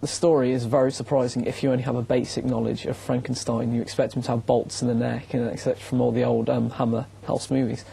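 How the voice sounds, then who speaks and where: Gravelly, husky young man, flat intonation. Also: medium pitch, quick pace, hardly any pauses. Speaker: student reader giving a book review. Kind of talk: earnest spoken book review.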